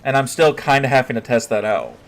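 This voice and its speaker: A man, a streamer, chatting casually with his live chat, thinking out loud and often restarting his sentences. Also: medium pitch, expressive, fairly quick.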